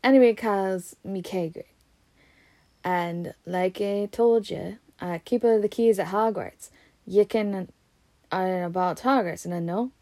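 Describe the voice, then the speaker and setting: A woman voicing a gruff, blustery but kindly giant man with a Scottish accent, reading from a storybook. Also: slow pace, mellow, no room sound. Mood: gruff, plain. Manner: booming, blustery.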